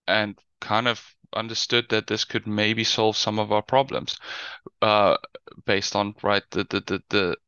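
A South African man presenting over a webinar in a low, crisp, forceful voice. He talks conversationally, full of restarts and tag questions, and the audio is dry and a little dull and lo-fi. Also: fairly even intonation, a little slow, no echo. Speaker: guest presenter, business intelligence lead.

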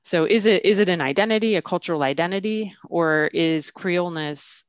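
A woman's voice: lowish voice, brisk, smooth, dry recording. A woman, a literary scholar, talking through ideas in an academic discussion, thoughtful and full of restarts. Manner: thoughtful, many restarts and "I mean".

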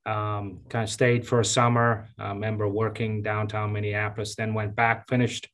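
A man in his fifties from Zambia, a county engineer giving relaxed, chatty interview answers with many fillers and restarts. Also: lowish voice, moderate pace. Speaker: county engineer and public works director.

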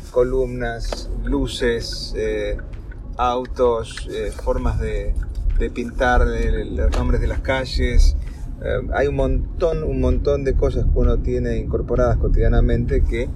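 A man, a TV producer, giving reflective interview answers in a measured, hesitant way. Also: mid-range voice, rough recording.

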